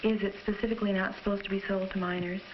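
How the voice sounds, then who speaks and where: A woman's voice: mid-range voice, flat delivery, very fast. A woman, a mother in family conversation, recorded for a documentary-style film. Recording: muffled, noisy old educational film soundtrack.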